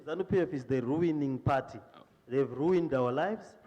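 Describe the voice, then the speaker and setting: A man fires off short, repeated jabs in a mellow, dark-toned voice. The sound is dull and lo-fi. A long reverb hangs around him. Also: mid-range voice, moderate pace. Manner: mocking, punchy repetition. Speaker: opposition panelist. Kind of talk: political debate.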